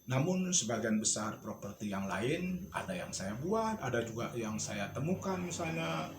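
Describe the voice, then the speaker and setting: A man's voice: medium pitch, very animated, normal speed. A man giving an informal storytelling tutorial, instructive and thinking aloud as he shows objects. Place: indoor home studio. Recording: echoey room with clear background noise.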